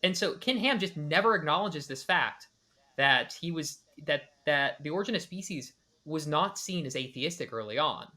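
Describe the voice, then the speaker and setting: Bright-voiced man giving analytical commentary. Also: on the high side, animated, moderate pace. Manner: analytical, with many restarts and hedged speculation. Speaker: podcast host. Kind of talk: reaction commentary.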